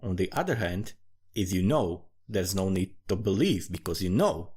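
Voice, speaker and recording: A man's voice: deep voice, sing-song, moderate pace, darkish tone, breathy edge. A man with a Central European accent giving a casual, rambling, sweary monologue that drifts from one thought to another. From Central Europe, small country. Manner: informal, sweary, digressive. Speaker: amateur fantasy writer recording commentary.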